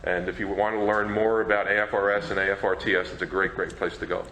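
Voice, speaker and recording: Male presenter, halting informal delivery, lowish bright voice, roomy echo, faint noise. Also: little pitch movement. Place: presentation room at a convention. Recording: echoey room, faint noise.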